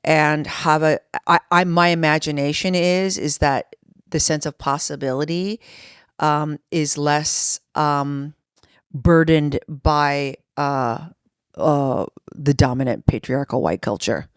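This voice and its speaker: Thoughtful, halting woman podcast guest. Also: sits low, fairly even intonation, smooth, no room sound, clean studio sound. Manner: thoughtful, halting, many restarts and pauses. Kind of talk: reflective conversation.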